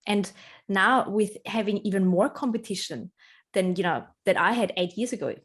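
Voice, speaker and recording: A woman's voice: medium pitch, animated, normal speed, fairly bright tone, slightly rough. A woman speaking English as her second language, answering interview questions about her work in an earnest, reflective way, often laughing. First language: not English. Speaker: interview guest, branding strategist.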